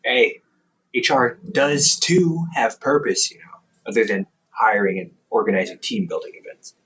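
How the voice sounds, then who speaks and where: A man's voice: mid-range voice, animated, moderate pace, a bit bright, far from the mic, muffled recording, lightly acted. A man voicing a caring, goofy older brother in an audio roleplay, playful and chatty, laughing often.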